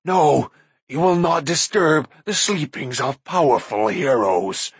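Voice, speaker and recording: A groveling shaggy forest creature from a storybook whines in a high, drawn-out voice. The voice is breathy and close, and the dry sound leaves no room around it. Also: male voice, lively intonation, no room sound, full character voice. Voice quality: high, slow, whining.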